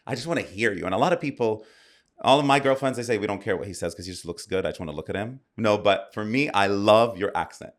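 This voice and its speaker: An American man hosting a casual chat, enthusiastic and rambling with frequent restarts. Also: lowish voice. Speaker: host.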